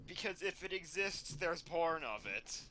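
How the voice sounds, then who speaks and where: Male co-host, forward bright voice a bit above average pitch, casual banter, dry and sarcastic. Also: sing-song, measured pace, poor audio. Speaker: co-host of a fan fiction reading show. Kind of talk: casual banter and mocking read-aloud.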